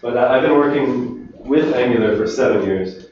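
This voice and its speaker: A man from Finland giving a relaxed technical talk to a meetup audience, chatting with the crowd. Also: mid-range voice, moderate pace, slight background noise. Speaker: conference speaker. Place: large echoey meetup room. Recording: distant, roomy, dull room recording.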